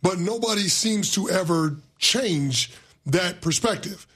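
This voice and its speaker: A man hosting a sports talk show, hoarse today, putting debate questions to his co-hosts. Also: high-pitched, animated, takes it slowly, a bit bright. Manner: poses questions to the panel.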